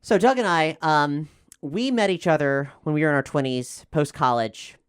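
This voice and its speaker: A man from Florida with an American accent, talking casually and explaining things in a halting way, often correcting himself as he thinks aloud. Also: on the high side, clean tone, dry recording, studio quality. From Florida, United States. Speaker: actual play podcast player and co-host.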